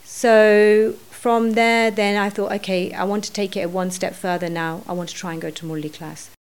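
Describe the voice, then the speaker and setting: Middle-aged woman chatting on a podcast, sincere, lots of "you know" pauses. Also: medium pitch, level tone. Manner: earnest, thinking aloud with many "you know"s. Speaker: podcast guest. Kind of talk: reflective podcast conversation.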